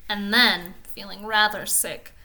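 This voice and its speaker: A woman's voice: medium pitch, unhurried, low-quality recording. A woman reads a children's storybook aloud expressively, as if to young children, adding casual asides. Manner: expressive, child-directed delivery.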